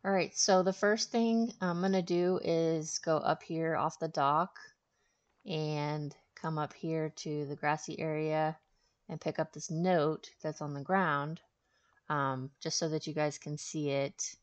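A woman with a low-pitched voice hosts a video game walkthrough. She talks informally and explains things as she goes, in casual commentary. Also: steady tone, takes it slowly, lo-fi sound.

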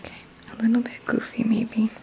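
A woman in her thirties doing gentle, soothing ASMR in a breathy whisper, close to the mic with unhurried pauses. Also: fairly high pitch, completely flat delivery. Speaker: ASMR host. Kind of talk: ASMR, soft guided relaxation roleplay. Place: small indoor room.

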